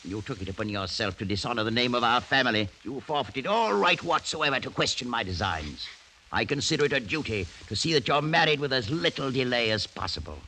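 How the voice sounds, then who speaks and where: An actor playing a cruel, scheming older aristocratic uncle in a mid-century radio drama, speaking with mock courtesy and theatrical relish. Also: male voice, medium pitch, very animated, brisk, bright, forceful tone. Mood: stern, commanding. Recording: noisy vintage radio recording.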